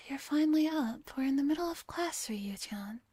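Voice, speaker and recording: A woman voicing an earnest, soft-mannered high-school girl who acts as the teacher in a visual novel. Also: lively intonation, normal speed, no echo. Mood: scolding.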